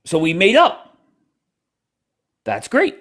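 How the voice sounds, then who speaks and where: A man hosting a casual livestream chat, blunt and quick to cut in on his guests. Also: high-pitched, wildly swooping pitch, fairly bright tone. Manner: blunt, apologetic, talks over guests. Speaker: livestream host. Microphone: headphones in, at home.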